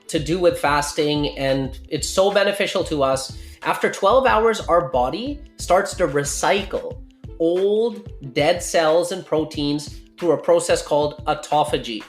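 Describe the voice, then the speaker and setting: A podcast host in their late thirties talks through health facts in a relaxed, explanatory way, often pausing to check in with the listener. The voice sits in a middling register and rings in an echoey room, with soft music playing faintly underneath. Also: mid-range voice. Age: 30s. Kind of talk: casual health talk. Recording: roomy echo with faint music.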